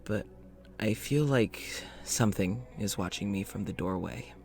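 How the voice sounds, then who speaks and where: A man's voice: on the low side, a little slow, vocal fry, soft background music. A man playing a polite, uneasy scientist in an audio drama, speaking in a reflective, hesitant, conversational way, as if into a journal. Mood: uneasy, curious. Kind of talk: audio drama monologue and dialogue.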